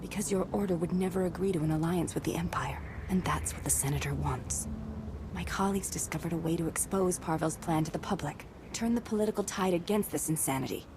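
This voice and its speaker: A woman's voice: lowish voice, brisk, background noise, close to an everyday voice. A woman playing an earnest, secretive ex-military diplomat and zealous patriot in a video game, speaking persuasively and solemnly. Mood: grave, urgent. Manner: persuasive, solemn, hushed at times.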